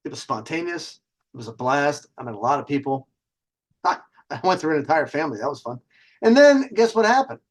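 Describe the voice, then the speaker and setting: A man's voice: on the high side, very animated, a little fast. A man hosting a casual storytelling session with dating advice, talking in a cocky, conversational way and swearing freely. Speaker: host telling personal stories and dating advice.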